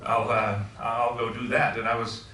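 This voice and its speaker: A middle-aged man from Switzerland speaks as a visiting professor and keeps the talk informal with questions to his audience. His voice is bright and carries forward. The room is echoey and the mic sits some way off, with a faint hiss underneath. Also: medium pitch, little pitch movement, moderate pace, far from the mic. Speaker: guest speaker. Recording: echoey room, voice heard from afar.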